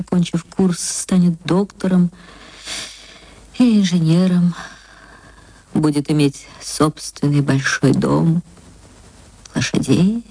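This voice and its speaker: A woman, an actress, reading a short story aloud in an expressive, tender and dramatic way. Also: sits low, breathy edge, dry recording, low-quality recording. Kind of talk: expressive literary narration.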